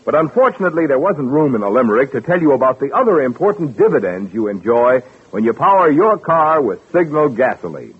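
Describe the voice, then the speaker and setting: A man's voice: medium pitch, sing-song, a little fast, few pauses, slightly rough. A man announcing a commercial in folksy, salesmanlike 1940s radio style. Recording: muffled, hazy vintage radio recording.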